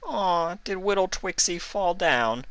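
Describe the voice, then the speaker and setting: Cruel female unicorn read by a man, mocking and bitter, bright edge to the voice. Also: high voice, animated, takes it slowly, smooth, no echo, pitched above the performer's own voice, full character voice. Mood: cruelly teasing. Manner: sneering, mocking.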